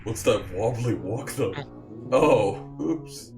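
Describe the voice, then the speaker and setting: A man's voice: mid-range voice, animated, slow, a little mellow. A man voicing a boozy, easygoing, flirtatious martial artist in a video game, breezy and slangy, with music behind. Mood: tipsy, flirty.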